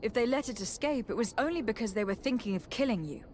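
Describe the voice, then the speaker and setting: A woman's voice: a little high, expressive, fast talker, darkish tone, a little hiss or hum, lightly acted. A woman playing a resolute, commanding noblewoman investigator in a video game, speaking formally and with assurance. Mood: calm, reassuring.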